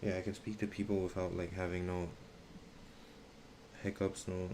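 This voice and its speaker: A young man speaks in a deep, low register during a relaxed live stream chat. His talk is slangy and halting, full of restarts as he searches for what to say. A faint hiss of noise sits beneath the voice.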